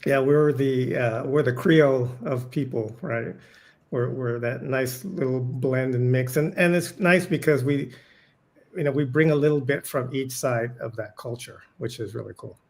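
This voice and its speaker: Man, podcast panelist, gravelly, easygoing, mid pitch. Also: normal speed, a little mellow. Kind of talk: casual panel chat.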